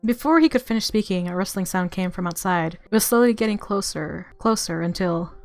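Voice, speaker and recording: A woman's voice: medium pitch, expressive, fairly quick, a little mellow. Woman narrating over faint music. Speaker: game player reading a visual novel aloud. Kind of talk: expressive story narration with casual reactions.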